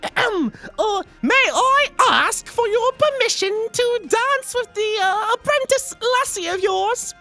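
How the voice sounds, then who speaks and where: A woman playing a shy, nervous little creature in a fantasy audio drama, stammering politely and haltingly. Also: very high voice, animated, sharp tone, soft background music, heavily acted.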